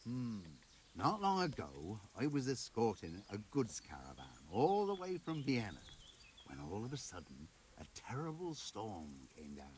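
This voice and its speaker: Self-important medieval tavern braggart, lowish voice, hugely animated, theatrical tall tales for a game. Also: male voice, unhurried, slight background noise. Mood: boastful. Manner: melodramatic, self-important, indignant when interrupted. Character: boastful tavern storyteller, idle, thirsty man. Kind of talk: theatrical tall-tale storytelling.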